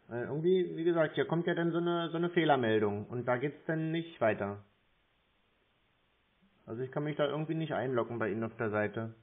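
A man in a casual phone conversation, hesitant and slow-witted, explaining things in a rambling way. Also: medium pitch. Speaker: caller posing as a confused customer. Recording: muffled, tinny phone line.

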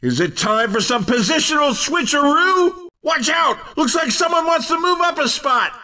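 A man playing an excitable, teasing, hammy race commentator in a video game. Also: very high voice, moderate pace, shouting, distant mic, exaggerated voice. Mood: excited, urgent. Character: excitable, cheeky male racing game announcer.

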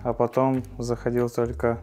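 A man's voice: mid-range voice, monotone, normal speed, mellow, slight background noise. A man on the team staff plainly explaining the house routine and the players' meals.

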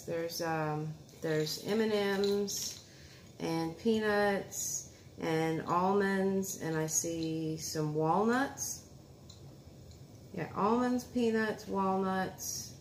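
A woman's voice: fairly low pitch, drawn-out words. A woman in her thirties casually chatting and tasting food for her vlog, talking to viewers and her son at the table at home. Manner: homey, talks to viewers and son while unwrapping food. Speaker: food reviewer vlogger. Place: home dining room, indoors. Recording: echoey room with clear background noise.

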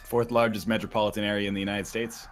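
A man's voice: fairly low pitch, little pitch movement, fast, soft background music. A man chatting casually and teasing his friends during a group gaming session. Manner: teasing, jokey. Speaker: gamer in a group play session. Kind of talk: casual banter over gameplay. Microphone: online voice chat mic. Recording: faint background noise on voice chat.

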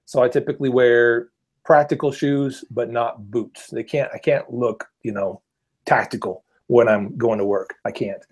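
A man in his thirties on an earbud mic over a video call, explaining things in a relaxed, measured way as a podcast guest. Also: medium pitch, husky. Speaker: podcast guest, gun channel creator. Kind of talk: casual podcast chat. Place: small room at home, indoors.

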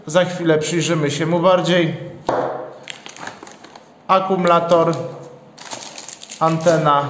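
A man's voice: a little high, slight background noise. A man giving an unscripted, matter-of-fact unboxing narration as a product reviewer, going through things methodically. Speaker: product reviewer, channel host. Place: indoors, at a tabletop. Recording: echoey hard-walled room, long reverb.

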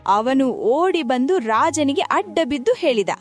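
A woman narrating a scripted children's folktale in an expressive storytelling manner. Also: high voice, measured pace. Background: background music.

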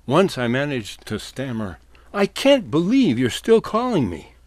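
Man, comic storyteller, wry, lively pitch swings, dry close sound. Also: unhurried, dry recording. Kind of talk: comic monologue, scripted storytelling.

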